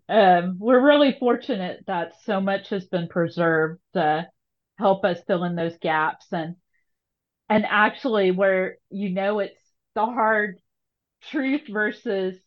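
A woman's voice: medium pitch, slow, dull audio. A woman hosting a casual conversational interview, thinking aloud in a wordy, hesitant way. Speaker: podcast host.

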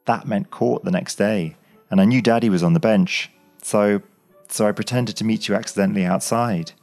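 A man's voice: fairly low pitch, moderate pace, a little mellow, faint music behind, pitched above the performer's own voice, natural voice. A man voicing an impulsive young woman in love, reading her lines from a storybook in a raised, breathless, pleading voice. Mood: passionate.

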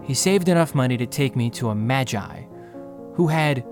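A man's voice: mid-range voice, lively intonation, moderate pace, music in the background, lightly acted. An actor playing an earnest, reflective male prisoner telling his life story in an audio drama, heartfelt in manner. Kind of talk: audio drama dialogue and first-person narration.

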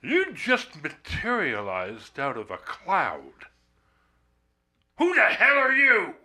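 An actor playing a gruff, hard-boiled male FBI agent with a taunting manner in an audio drama. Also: sits high, huge pitch swings, slow pace, sharp tone, soft background music, full character voice. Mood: baffled, wry.

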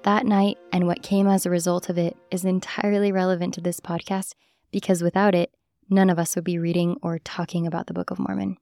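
A woman's voice: lowish voice, level tone, brisk, gravelly, darkish tone, no room sound, clean studio sound, soft background music. A woman hosting a podcast, reading scripted narration. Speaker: podcast host.

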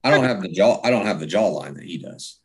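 A man's voice: low-pitched, animated, quick pace. A man from the Midwest in casual chat, modest and hesitant, trailing off as he talks.

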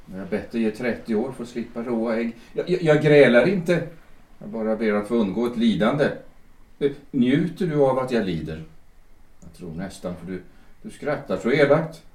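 An actor playing a worn-out old schoolteacher who pleads and apologizes in a rambling, half-asleep way, recorded as an audio drama. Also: male voice, lowish voice, darkish tone. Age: older. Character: weary old schoolteacher man, anxious and pleading. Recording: roomy echo, distant mic.

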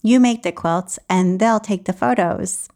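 A woman hosting a podcast, her voice in a middle pitch. She reads the sponsor spot and chats with her guest in a friendly, upbeat way and laughs easily. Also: a little slow, darkish tone. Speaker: podcast host. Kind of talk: podcast interview and read ad.

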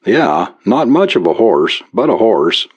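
A man voicing a brisk, plain-spoken and skeptical American police officer. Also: fairly low pitch, very animated, breathy edge, exaggerated voice. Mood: dry.

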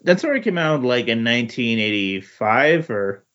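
A man chatting casually about comics on a podcast, halting and repeating words as he thinks. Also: mid-range voice, sing-song, fairly bright tone. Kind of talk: casual comic book discussion. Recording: dull lo-fi voice recording.

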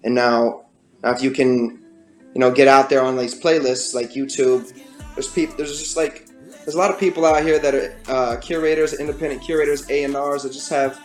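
A man with a raspy voice, a guest musician talking casually in an interview, with many fillers and restarts. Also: mid-range voice, fairly even intonation, normal speed, roomy sound, soft background music.

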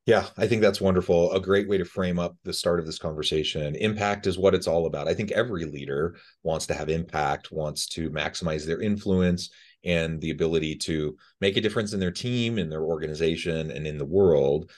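Low-pitched male podcast host. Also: fairly quick, a little mellow. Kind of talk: conversational podcast hosting.

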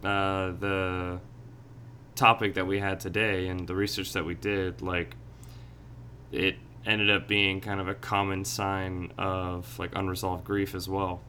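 Low, bright-toned man musing aloud. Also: level tone, a little slow, slight background noise. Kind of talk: casual podcast chat.

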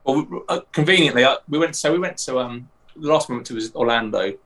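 A man's voice: mid-range voice, quick pace, fairly bright tone, far from the mic. A man in casual podcast chat, talking hesitantly with many restarts and fillers, laughing easily. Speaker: comic artist guest.

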